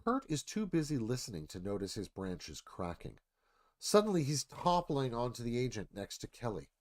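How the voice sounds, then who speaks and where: Man narrating with lively pitch swings. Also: normal speed. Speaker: narrator reading stage directions. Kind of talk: scripted screenplay narration.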